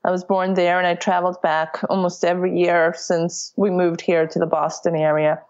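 A woman's voice: fairly low pitch, flat delivery, normal speed, a little mellow. A woman from Italy, a small business owner, speaking candidly in a casual interview, with many fillers and restarts. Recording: dull lo-fi audio.

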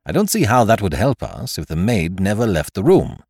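A man's voice: sits low, lively intonation, moderate pace, a little mellow, dry recording, studio quality. A man voicing an impatient, matter-of-fact middle-aged police inspector. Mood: brisk.

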